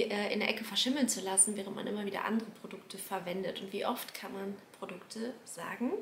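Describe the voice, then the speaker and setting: A woman's voice: on the high side, a bit bright, echoey room, slight background noise. A woman in her forties, a beauty vlogger with a slightly nasal voice from a cold, chatting through a product update at home in a rambling way, with many fillers and self-corrections. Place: home room, indoors.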